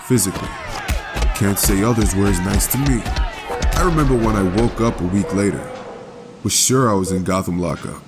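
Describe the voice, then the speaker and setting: A man's voice: lowish voice, moderate pace, music in the background, crowd noise, lightly acted. An actor playing a bitter, sardonic young man narrating his own origins in a dramatic comic dub. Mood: wry, bitter. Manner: sardonic, wry. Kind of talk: dramatic first-person narration.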